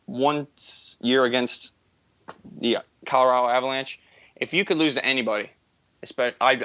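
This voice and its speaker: A man's voice: mid-range voice, bright, forceful tone, no room sound. Young man, dull dry podcast audio. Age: early 20s. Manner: rambling, self-correcting, enthusiastic fan talk. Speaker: podcast co-host. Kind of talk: casual sports chat.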